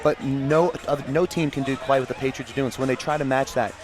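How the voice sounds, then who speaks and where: A man's voice: medium pitch, steady tone, fast. A man working as color commentator at a basketball game, giving conversational analysis with loose, run-on thoughts. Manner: conversational, strings thoughts together with fillers.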